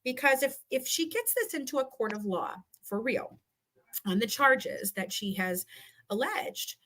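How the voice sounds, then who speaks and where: A woman's voice: high-pitched, expressive, a little slow, a bit bright, a little hiss or hum. A woman giving heated, combative commentary in a casual live chat, repeating phrases for emphasis. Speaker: guest.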